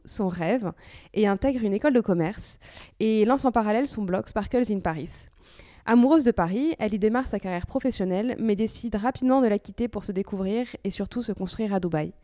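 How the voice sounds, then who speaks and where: A woman's voice: mid-range voice, warm tone. A woman hosting an interview podcast, introducing the show and talking conversationally with her guest. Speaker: podcast host.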